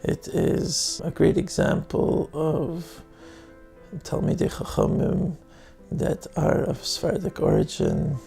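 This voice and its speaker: Very gravelly, higher-pitched man giving testimonial. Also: lively intonation, slow, mellow. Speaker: community member giving a testimonial. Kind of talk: promotional video testimonial. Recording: music playing behind the voice.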